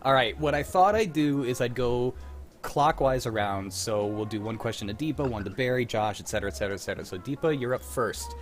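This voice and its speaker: A man's voice: medium pitch, expressive, a little fast, faint music behind. A man hosting a casual livestream, chatting informally with friends and running a trivia game in an easygoing way. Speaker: host and quizmaster.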